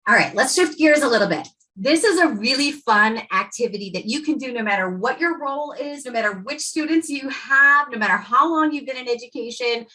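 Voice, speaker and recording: A woman educator leading a workshop for teachers, talking with lively energy and acting out quotes from students and parents. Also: fairly high pitch, brisk, rough recording. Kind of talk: presenting to educators.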